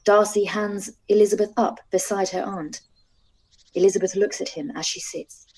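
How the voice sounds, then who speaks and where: A woman narrating the stage directions of a radio play in a calm, even reading. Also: mid-range voice, normal speed, husky, rough recording.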